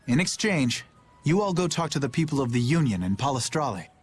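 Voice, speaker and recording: A man playing a courteous, earnest young knight commander with a polite, measured manner in an anime game dub. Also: medium pitch, big pitch swings, soft background music, lightly acted. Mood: friendly.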